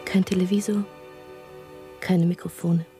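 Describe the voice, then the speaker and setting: An actress playing a secretive, ironic rebel woman in a German radio drama, speaking in a hushed, intimate way. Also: lowish voice, level tone, unhurried, faint music behind. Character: secretive, ironic female rebel posing as fanatic.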